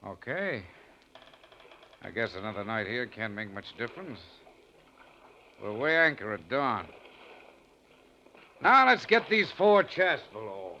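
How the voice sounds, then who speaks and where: An actor playing a hearty, take-charge boat skipper in 1940s radio style, with brisk folksy talk. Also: male voice, mid-range voice, sing-song, sharp tone, lo-fi sound, full character voice. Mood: concerned, resigned. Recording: old radio recording with faint noise.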